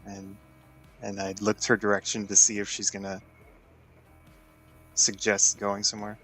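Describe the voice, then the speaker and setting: A man's voice: on the low side, completely flat delivery, unhurried, sharp tone. A man playing a tabletop role-playing game in casual chat. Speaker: tabletop role-play player. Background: faint music.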